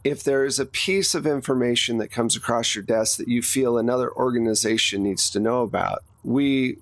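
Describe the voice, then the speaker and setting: A man voicing a formal, guarded female agency boss in a tabletop game, with measured bureaucratic wording. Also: mid-range voice, dry recording, close to an everyday voice.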